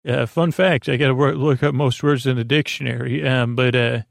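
A man with a creaky, gravelly voice hosts a bedtime podcast, rambling in a halting, meandering, whimsical way, repeating himself and trailing off. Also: medium pitch, fairly even intonation, no echo, studio quality. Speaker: host of a bedtime sleep podcast.

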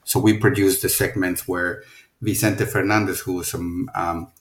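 A man's voice: low-pitched, fairly even intonation, moderate pace, roomy sound. A middle-aged man from Mexico with a Mexican accent, a film producer talking casually in an interview and rambling through many restarts and fillers.

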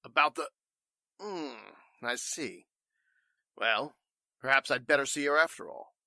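A man playing an irritable, pompous and evasive warehouse boss in 1940s radio style, gruff and stammering. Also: mid-range voice, unhurried, pushed and bright, no room sound, clean studio sound. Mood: wary.

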